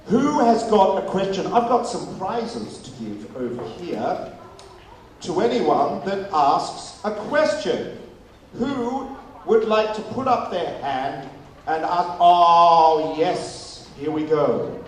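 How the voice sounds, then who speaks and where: A man's voice: sits high, sing-song, slow. A man in his forties hosting a live question-and-answer session with an audience after a stage show, jovial and repeating answers back. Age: 40s. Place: performance venue before a live audience. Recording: very echoey venue, distant mic, crowd noise.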